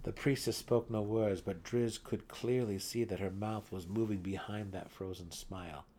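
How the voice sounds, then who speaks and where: A man's voice: on the low side, fairly even intonation, moderate pace, warm tone, a little hiss or hum. A man reading scripted fantasy narration in a dramatic, intense and theatrical way. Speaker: audiobook narrator.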